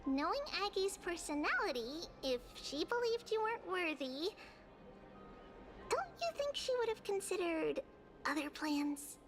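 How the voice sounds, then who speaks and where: Game casting: small, wise, encouraging girl, high childlike voice, unhurried, music clearly behind. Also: very animated, full character voice. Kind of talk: scripted game voice acting. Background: background music.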